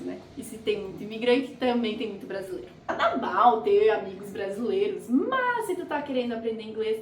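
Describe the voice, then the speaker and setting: Young woman, animated casual vlog talk, echoey room, faint background hum. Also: on the high side, far from the mic. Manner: chatty, animated, jokey asides. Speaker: vlogger. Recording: roomy echo, off-mic.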